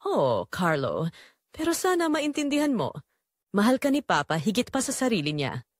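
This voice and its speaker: A woman's voice: on the high side, huge pitch swings, moderate pace, no room sound, studio quality. A woman voicing a guilt-ridden mother in an audio drama, pleading emotionally. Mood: remorseful.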